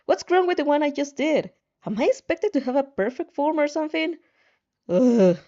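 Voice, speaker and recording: A woman's voice: high voice, lively intonation, moderate pace, warm tone, muffled recording, voice pushed up. A woman voicing an exasperated, sarcastic teenage story protagonist with dramatic whining.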